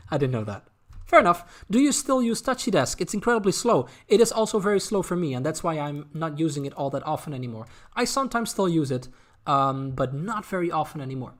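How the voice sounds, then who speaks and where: A man with a Dutch accent chatting casually as a streamer, answering viewer questions in a halting way, often repeating words as they think aloud. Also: fairly high pitch, lively intonation, a bit bright. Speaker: streamer, anime content creator.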